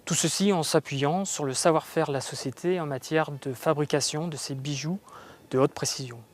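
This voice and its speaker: Male, fairly high voice, development manager, prepared statement. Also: steady tone, fairly quick, noisy background.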